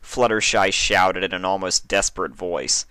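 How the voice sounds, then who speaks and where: A man's voice: sits low, moderate pace, background noise. A man narrating a written story as a dramatic reading. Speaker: fan fiction reader.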